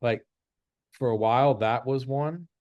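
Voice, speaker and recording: A man's voice: medium pitch, a little slow, no echo, lo-fi sound. A man giving an informal lesson as an instructor, explaining step by step and repeating his rules. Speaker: trading instructor. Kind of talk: informal chart-based lesson.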